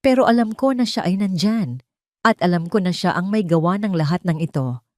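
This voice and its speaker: A woman's voice: lowish voice, animated, brisk, a little mellow, no echo, lightly acted. A woman voicing an earnest, defiant male doctor in an audio story, speaking emphatically. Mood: pleading, earnest.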